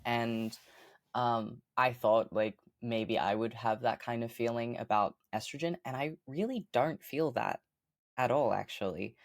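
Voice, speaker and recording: A woman with a fairly deep voice, a podcast guest chatting casually and upbeat, with lots of ums and likes. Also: a little slow, no room sound, studio quality. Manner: chatty, upbeat, many fillers like um and like. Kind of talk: casual interview chat.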